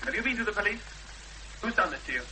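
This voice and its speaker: An actor playing an angry, impatient fiancé, clipped and accusing, in a 1970s radio drama. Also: male voice, sits high, expressive, fast talker. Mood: urgent concern. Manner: clipped, accusing, pressing with blunt questions. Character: forceful, angry young fiancé confronting a victim, impatient and accusing. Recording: faintly noisy, humming old radio broadcast.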